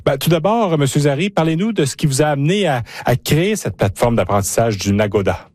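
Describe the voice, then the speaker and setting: A man's voice: fairly high pitch, lively intonation, a little fast, a little mellow, no room sound, studio quality. A man hosting a radio program, reading weather and interviewing a guest in a conversational way. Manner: conversational, with small hesitations and repeated words. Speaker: radio host.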